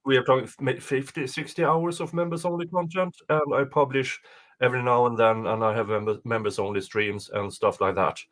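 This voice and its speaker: A man hosting a livestream with casual chat, jokey and quick to laugh. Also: mid-range voice, steady tone, a bit bright. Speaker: livestream host.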